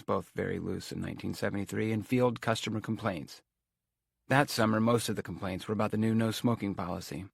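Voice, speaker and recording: Guy narrating an audiobook, reflective first person, gravelly voice, dry close sound. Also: fairly low pitch, no echo.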